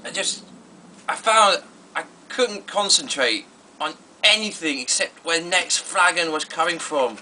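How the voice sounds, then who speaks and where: Halting, broken drinker, slightly high-voiced played by a man. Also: animated, pushed and bright, far from the mic, a little hiss or hum, heavily acted, rough recording. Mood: regretful. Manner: halting, rueful, trailing off. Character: broken, wretched man ruined by cider drinking. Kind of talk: mock documentary confessional interview.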